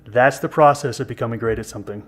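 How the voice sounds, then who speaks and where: A man in his fifties co-hosts a podcast with loose, joking talk and pokes fun at himself. His voice sits at an ordinary middle pitch. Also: fast. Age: 50s. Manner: self-deprecating, jokey. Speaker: podcast co-host. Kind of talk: casual podcast conversation.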